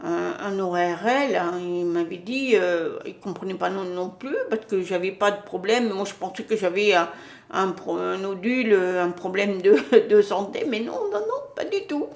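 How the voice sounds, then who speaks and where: A woman's voice: medium pitch, expressive, moderate pace, creaky, reverberant. A woman giving a casual spiritual talk to her viewers, hesitant, with many fillers and restarts. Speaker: spiritual channel host.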